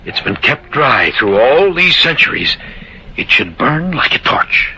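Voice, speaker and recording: A man's voice: medium pitch, very animated, a little slow. An actor playing a grave, insistent man who believes in an ancient curse, in earnest, ominous radio horror style. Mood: urgent, commanding. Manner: earnest, ominous, dramatic delivery. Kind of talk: old-time radio horror acting. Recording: dull, hissy old radio recording.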